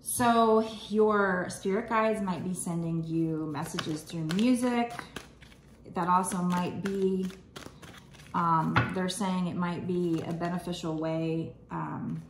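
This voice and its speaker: A woman's voice: on the low side, takes it slowly, roomy sound, noisy background. A woman giving a casual tarot reading, rambling and reassuring, her voice slightly froggy. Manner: rambling, reassuring, many fillers. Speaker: tarot reader.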